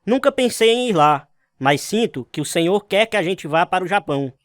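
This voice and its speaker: An actor playing an earnest missionary husband with a Brazilian accent, telling his life story in a radio drama with sincere, measured delivery. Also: high-pitched, lively intonation, dry recording. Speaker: narrator and lead character. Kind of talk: dramatized radio testimony, scripted narration and dialogue.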